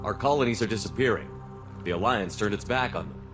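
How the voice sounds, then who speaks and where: An actor playing a steadfast, weary military space commander in a video game, measured and firm. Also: male voice, lowish voice, lightly acted. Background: loud music.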